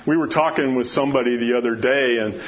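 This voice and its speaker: A man with a loud, carrying voice teaching scripture as a preacher in an informal, conversational and emphatic way, often posing questions to his listeners. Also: medium pitch, fast talker. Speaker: preacher teaching a Bible study. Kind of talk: informal sermon with scripture readings and personal asides. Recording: dull lo-fi sound, clear background noise.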